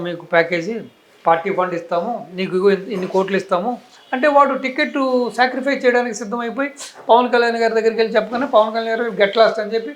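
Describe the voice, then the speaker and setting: A man with a distinctly high voice and a Telugu accent speaks as a political commentator. He argues his opinions emphatically, throwing out rhetorical questions and stacking points in repeated phrases.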